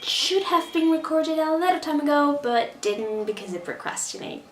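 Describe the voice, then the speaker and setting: A woman's voice: high-pitched, normal speed, reverberant, slight background noise. Chatty Brazilian woman with a mixed accent. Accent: mixed Brazilian, American and British. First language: Portuguese. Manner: chatty, animated, talks with her hands. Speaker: vlogger. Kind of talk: casual direct-to-camera vlog.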